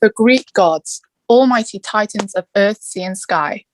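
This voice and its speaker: A woman playing a male Latin teacher in a table read, lecturing on myths in a measured, theatrical way with rhetorical questions. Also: medium pitch, a little hiss or hum, lightly acted, rough recording. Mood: dramatic lecturing.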